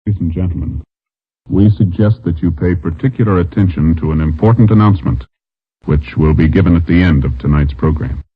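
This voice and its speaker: A man's voice: deep voice, fairly quick, husky. A man making scripted announcements in an old-time radio style. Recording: dull vintage radio recording.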